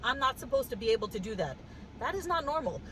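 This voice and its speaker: A woman with a cough telling a story in a casual vlog, animated and wry, laughing as she goes, sitting in a car. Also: on the high side, fast talker, slight background noise, poor audio. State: congested with a cough. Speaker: vlogger. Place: in a car with the air conditioning on.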